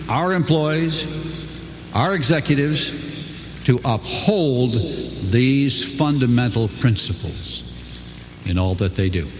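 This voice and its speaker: An older man giving a folksy, earnest motivational speech to a crowd, his pitch swooping for emphasis. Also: noisy background. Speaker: company co-founder speaking to a crowd. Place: large hall before an audience. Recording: echoey hall, faint ambience.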